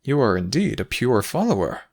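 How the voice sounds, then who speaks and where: A man's voice: mid-range voice, big pitch swings, moderate pace, a little mellow. A man voicing a lofty male Egyptian god in a dramatic audio roleplay, explaining things in a formal, theatrical and superior manner. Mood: lofty, gracious.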